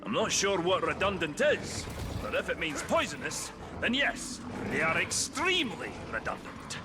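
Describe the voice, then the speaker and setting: A man's voice: a little high, big pitch swings, moderate pace, over music. A man playing a boisterous, wisecracking dwarf hunter in a video game, with a hearty, playful, theatrical delivery. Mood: wry amusement. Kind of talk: video game character acting. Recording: loud game ambience noise behind.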